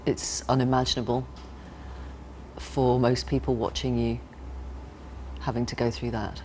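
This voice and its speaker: Measured woman interviewer, faint background noise. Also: a bass voice, level tone, a little mellow, rough recording. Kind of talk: serious broadcast news interview.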